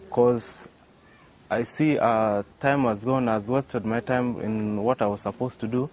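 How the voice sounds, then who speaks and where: A young man answers interview questions outdoors. He restarts often and tags on "yeah" while he thinks. His voice sits at a middle pitch, with faint noise behind him. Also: a little mellow, low-quality recording. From South Sudan. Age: young adult. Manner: hesitant, many "yeah" and restarts. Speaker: interview guest, young inventor. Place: outdoors by a workshop.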